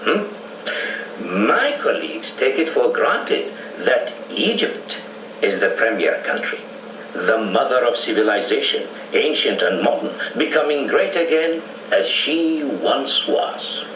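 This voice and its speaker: A proud, smooth-tongued Egyptian government official in a 1920s period radio drama. His phrasing is formal and diplomatic, and his pitch moves in lively swings. The copy is noisy and roomy, as if he stands back from the microphone. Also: distant mic.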